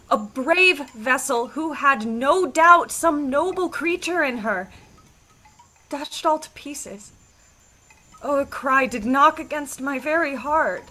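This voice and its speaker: A compassionate young maiden in a Shakespearean radio drama. Her voice is high and bright, and she speaks her lines slowly and earnestly. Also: heavily acted. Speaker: actor in a Shakespeare audio play.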